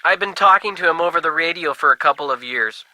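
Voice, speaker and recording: An actor playing a folksy, skeptical working-class ham radio buddy in a video game, talking in casual old-fashioned slang. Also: male voice, a little high, quick pace, bright, forceful tone, no echo, low-quality recording. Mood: dismissive, folksy.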